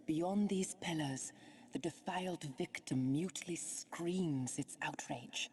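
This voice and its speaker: An actress playing a solemn oracle-like narrator in a video game, speaking in grave, poetic, deliberate phrases. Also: female voice, low-pitched, crowd noise. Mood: ominous, grave.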